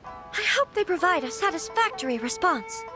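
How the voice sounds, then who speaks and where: A woman's voice: extremely high pitch, sing-song, normal speed, over music. A woman playing a refined, earnest young noblewoman in a video game, anxious yet resolute, speaking formally and thoughtfully. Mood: relieved. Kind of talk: scripted video game dialogue.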